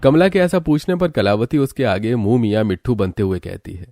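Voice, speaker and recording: A man's voice: lowish voice, a little fast, mellow, soft background music. A man narrating a scripted cartoon story as its storyteller.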